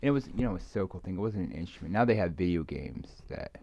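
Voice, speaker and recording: A middle-aged man in an unscripted, casual ASMR ramble, halting and meandering, with many fillers, on a muffled home recording. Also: low voice, lively intonation, a little mellow, no echo. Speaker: ASMR video maker. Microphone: microphone moved around beside a keyboard.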